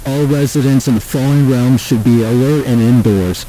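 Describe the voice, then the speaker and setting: A man voicing a grave, formal emergency broadcast announcer, speaking in a measured, deliberate monotone. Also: medium pitch, slight background noise, pitched below the performer's own voice, lightly acted, low-quality recording. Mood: grave, official.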